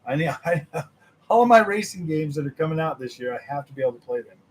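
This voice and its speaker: Excitable gaming streamer, slightly raised pitch. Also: male voice, fast, slight background noise, rough recording. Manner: animated, laughing, mimics others in a high voice. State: recovering from losing his voice. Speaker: gaming channel host. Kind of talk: casual livestream chat with viewers.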